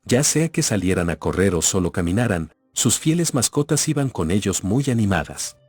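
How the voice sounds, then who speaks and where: A man tells horror stories from a script. His voice is very low and noticeably husky, and he keeps a measured, ominous storytelling manner. The recording is very dry and close. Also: big pitch swings, a little mellow, no room sound. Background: background music.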